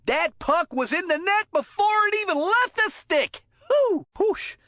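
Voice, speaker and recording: A high-pitched, bright cartoon sports announcer, calls kids' hockey for a video game. The delivery is folksy and exclamatory, swinging widely up and down with a joking, excitable temper. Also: female voice, unhurried, no echo, studio quality, full character voice. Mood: excited, gleeful. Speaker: sports commentator. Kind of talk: scripted play-by-play game commentary.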